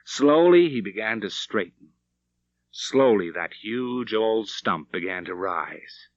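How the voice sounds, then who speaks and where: A man's voice: fairly low pitch, expressive, slow, no echo. A man narrating a Western novel as an audiobook, telling the story in a dramatic, vivid way. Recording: slightly dull, dry studio recording.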